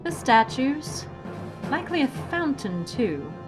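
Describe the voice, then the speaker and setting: A woman's voice: sits high, big pitch swings, measured pace, over music. A woman playing a courteous, unruffled swashbuckling heroine with a wry, philosophical manner in an audio drama. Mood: wryly musing. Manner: polite, unruffled, wryly philosophical. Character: composed, courteous, witty female masked swashbuckling heroine.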